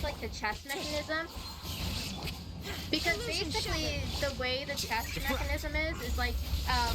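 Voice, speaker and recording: A young woman chatting casually in a rambling, excitable, slangy way as she streams a game. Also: high voice. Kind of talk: casual live-stream chat while playing. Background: game music.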